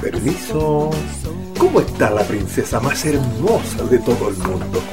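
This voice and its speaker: A man's voice: mid-range voice, sing-song, unhurried, music in the background. A man playing a devoted, tender father in a radio drama, affectionate and coaxing in his delivery. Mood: cheerful, affectionate.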